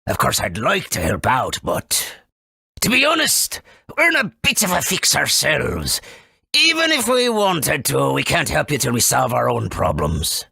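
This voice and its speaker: A man voicing a folksy, cautious elder of a furry forest tribe in a video game, with a gravelly, husky voice and dramatic storytelling manner. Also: mid-range voice, huge pitch swings, measured pace, sharp tone, no room sound, studio quality, heavily acted. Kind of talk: video game character acting.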